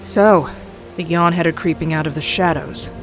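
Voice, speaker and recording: A woman's voice: deep voice, moderate pace, faint music behind, low-quality recording. A woman playing a tough, wry military intelligence captain in an audio drama, dry and sardonic. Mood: cool, wry.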